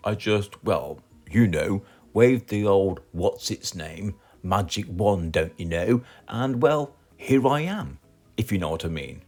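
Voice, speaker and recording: A man with a British accent voicing a fat, wordy storybook wizard, halting and over-polite. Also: fairly low pitch, lively intonation, a little mellow, soft background music, full character voice. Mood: bumbling, affable. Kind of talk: children's storybook character.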